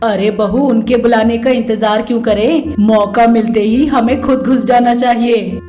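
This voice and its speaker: Comic story role: a woman as scheming, food-loving mother-in-law, fairly high voice, bossy and gloating. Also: steady tone, unhurried, dark tone, echoey room, soft background music, natural voice. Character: gluttonous, scheming middle-aged village mother-in-law.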